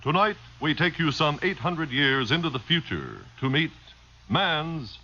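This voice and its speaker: A man's voice: a little high, very animated, measured pace, noisy background. A man announcing a vintage radio drama in a dramatic 1950s style. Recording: muffled vintage radio recording.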